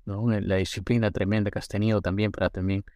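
A man's voice: on the low side, flat delivery, quick pace, dark tone, no echo. A man hosting an interview, affirming his guest and asking friendly questions. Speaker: interviewer host. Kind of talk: casual interview.

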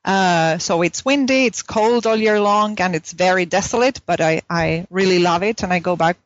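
A woman's voice: lowish voice, normal speed, no echo, dull audio. A woman from southern Chile, a native Spanish speaker with a Chilean accent, talking conversationally as an academic interview guest. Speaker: academic guest, philosophy professor. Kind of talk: conversational interview, telling own story.

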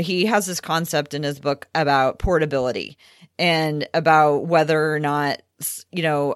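A woman's voice: deep voice, dry recording, studio quality. A woman hosting a sports podcast, chatting casually about basketball with wry asides.

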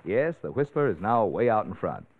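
A man's voice: fairly low pitch, sing-song, moderate pace. A man speaking in polished, emphatic 1940s radio announcing style, delivering a sponsor message. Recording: tinny old radio, narrow band.

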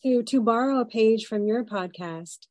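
A woman co-hosting a podcast with a head cold, speaking in an encouraging, measured way. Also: fairly high pitch, mellow, no echo. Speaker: podcast co-host. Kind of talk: casual podcast chat.

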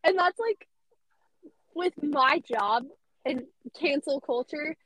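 A young woman with a high, squeaky voice, chatting casually and bubbly as a podcast guest. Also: animated, slow pace, fairly bright tone, no room sound, rough recording. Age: young adult. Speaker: radio host guest.

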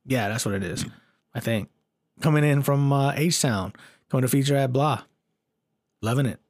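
A man's voice: mid-range voice, normal speed, darkish tone. A man co-hosting a podcast in casual chat, blunt and jokey, with plenty of swearing. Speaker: podcast co-host and poet.

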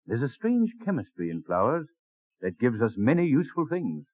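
An actor playing a dignified, enthusiastic American president in a 1930s radio drama, speaking in an oratorical, declamatory way. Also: male voice, mid-range voice, huge pitch swings, normal speed, no echo. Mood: genial, instructive. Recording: dry, muffled old radio recording.

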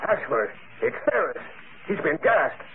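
High-pitched, brisk police sergeant. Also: male voice, big pitch swings, creaky, exaggerated voice. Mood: determined. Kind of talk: old-time radio drama acting. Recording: noisy, tinny old radio broadcast.

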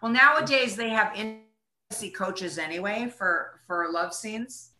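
A woman's voice: mid-range voice, animated, a little slow, crowd noise. A woman chatting casually as an interview guest, with an emphatic, talkative way of speaking. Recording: roomy, echoing sound.

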